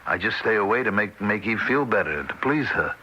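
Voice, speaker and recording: An actor playing a plain-spoken, weary husband in a radio drama, blunt and conversational. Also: male voice, fairly low pitch, lively intonation, fairly quick, pushed and bright, breathy edge, lo-fi sound, full character voice. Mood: practical, patient. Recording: old radio recording, faint noise.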